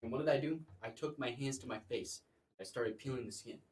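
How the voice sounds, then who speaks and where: A man's voice: mid-range voice, a little fast, far from the mic. A young man co-hosting a comedy podcast, telling rambling stories in a theatrical, joking way. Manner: theatrical, jokey. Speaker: co-host of a chaotic comedy podcast. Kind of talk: rambling comedic storytelling, casual chat. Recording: echoey room with loud noise.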